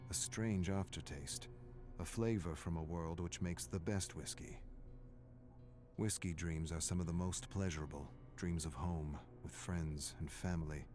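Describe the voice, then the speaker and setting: Deep, brooding imprisoned scholar. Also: male voice. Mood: weary, despairing. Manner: measured, solemn, formal. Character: weary, brooding male scholar imprisoned in a foggy otherworld. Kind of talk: scripted narration of journal entries from a video game. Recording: music behind the voice.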